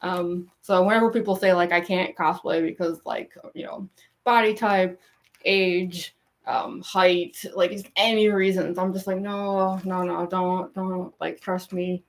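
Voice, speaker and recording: A young woman with a gruff, raspy voice and a goofy laugh, chatting casually with viewers on a livestream, rambling with lots of fillers. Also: fairly low pitch, steady tone, unhurried. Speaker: cosplay livestreamer.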